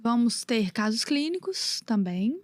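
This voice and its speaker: A woman's voice: fairly high pitch, big pitch swings, measured pace, dry recording. A Brazilian woman, a podcast co-host and cardiologist, chatting casually with frequent restarts. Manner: hesitant, repeats words. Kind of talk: casual chat.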